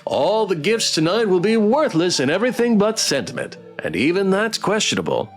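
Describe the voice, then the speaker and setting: A man's voice: high-pitched, very animated, moderate pace, faint music behind, pitched above the performer's own voice. A man voicing a theatrical, teasing host of a spooky dating show in a visual novel game. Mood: showy teasing.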